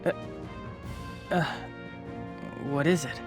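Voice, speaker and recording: A man playing a hesitant young amnesiac fighter in a video game, halting and unsure. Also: on the high side, music in the background. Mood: confused. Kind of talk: video game cutscene voice acting.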